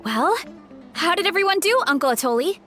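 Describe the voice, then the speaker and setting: An actress playing a sweet, easygoing young orchard grower in a video game, speaking earnestly. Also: female voice, extremely high pitch, big pitch swings, a little fast, sharp tone, faint music behind, exaggerated voice. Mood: cheerful. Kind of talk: English video game voice acting.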